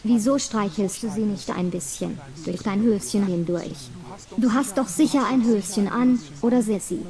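A young woman slowly reading a scripted hypnosis recording, speaking straight to the listener in a commanding, insistent way and repeating phrases in a hypnotic rhythm. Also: medium pitch, warm tone.

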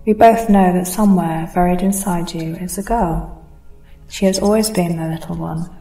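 A woman hypnotist speaks in a slow, hushed and soothing way, her low voice staying flat and even. Her tone is mellow and warm. She sounds a little set back in a roomy space, with faint music under her. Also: far from the mic. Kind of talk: scripted hypnosis recording.

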